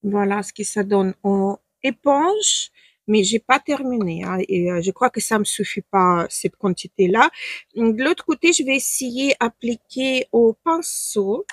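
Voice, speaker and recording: A woman's voice: on the high side, unhurried, dry recording. A woman from Ukraine with a Ukrainian accent, speaking French as a second language, chatting hesitantly through a makeup tutorial and searching for words. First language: not French. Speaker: beauty stylist and makeup vlogger. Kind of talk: casual makeup tutorial talk to camera. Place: at home, in front of her makeup.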